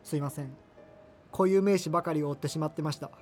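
A man's voice: fairly high pitch, quick pace, a little mellow, lightly acted. Radio drama role: male actor as hesitant, earnest young culture geek, wordy and apologetic, over a music bed. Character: awkward, earnest, apologetic subculture-obsessed young man. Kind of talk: naturalistic radio drama dialogue and monologue.

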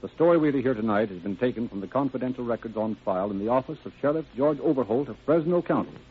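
Male radio announcer, medium pitch, formal salesmanlike delivery, scripted, 1930s style, thin tinny recording. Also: expressive, quick pace, music in the background. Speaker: announcer and narrator.

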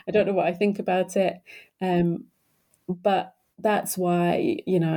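A woman's voice: fairly low pitch, vocal fry, dark tone. A woman in her thirties, an author answering interview questions about her research with thoughtful, hesitant phrasing and frequent fillers.

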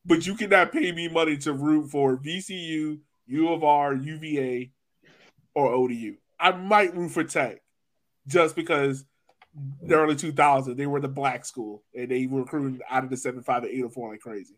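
A man's voice: a little high, normal speed, a bit bright. Casual American man hosting a soccer podcast. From Virginia, United States. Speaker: sports podcast host.

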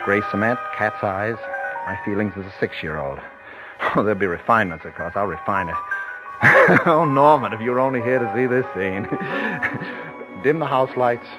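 A man's voice: fairly low pitch, animated, moderate pace, music in the background, lightly acted, low-quality recording. A man playing a raving prisoner feigning madness. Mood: sly, gloating. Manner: theatrical, swings between sly murmurs and frantic shouting. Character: scheming male prisoner faking guilt-crazed madness. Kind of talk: 1940s radio acting.

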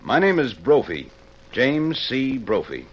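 A man's voice: mid-range voice, very animated, takes it slowly, breathy edge, heavily acted. A man with an American accent playing a polite, formal businessman in an old radio drama, on a muffled, noisy recording. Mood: courteous, inquiring. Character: courteous, formal, well-dressed male businessman visitor.

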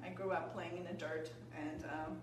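A woman from Poland chats about her life as a guest, loose and friendly, with plenty of "um" and "you know." She sits away from the mic, so her voice bounces around a very echoey room. A low hum runs underneath. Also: level tone, moderate pace, distant mic. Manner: chatty, laughing often, many fillers. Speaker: guest, yoga teacher. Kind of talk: casual interview chat.

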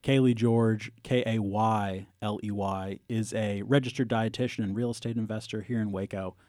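A man hosts a public radio talk show from the studio. His voice sits low with a warm, mellow tone. The sound is dry and close. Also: steady tone, normal speed, no room sound. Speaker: radio host. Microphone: studio microphone.

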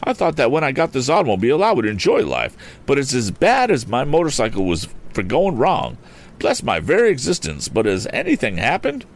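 Man playing an excitable eccentric gentleman, pitched high. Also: a little fast. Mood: flustered, exasperated. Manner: flustered, exclamatory, emphatic. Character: eccentric, excitable gentleman motorist, blustering and good-natured. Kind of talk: audiobook character voice.

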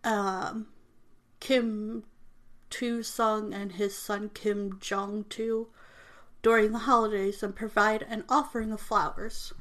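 A woman's voice: medium pitch, level tone, slow pace, a bit bright. A woman whose first language is not English, reading an informational text aloud with frequent stumbles and self-corrections. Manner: apologetic about mispronouncing names. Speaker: reader presenting a text.

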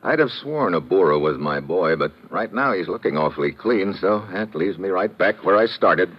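An actor playing a tough, wisecracking detective in old-time radio drama, with clipped, slangy hard-boiled talk. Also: male voice, deep voice, animated, moderate pace, breathy edge. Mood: wry. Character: tough wisecracking male detective, dogged and wry. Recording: dull vintage radio recording.

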